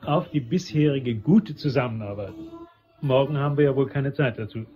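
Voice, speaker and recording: An actor playing a self-important, chatty shopping center manager in a German crime radio drama, jovial and official in manner. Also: male voice, medium pitch, moderate pace, muffled recording, close to an everyday voice. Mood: businesslike, jovial.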